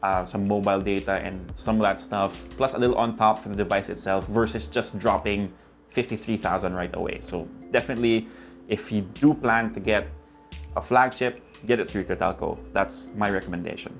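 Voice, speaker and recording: Casual male tech reviewer over soft music. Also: fairly low pitch, fairly quick, vocal fry. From Philippines. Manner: relaxed, conversational, addresses viewers directly.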